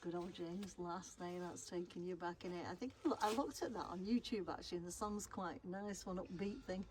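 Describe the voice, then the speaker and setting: Chatty, lower-pitched English woman. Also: steady tone, moderate pace, darkish tone. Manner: chatty, informal, adds tag questions. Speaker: vlogger filming and presenting. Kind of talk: casual unscripted chat. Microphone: handheld phone or camera, filmed by the speaker. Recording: background noise, handheld camera sound.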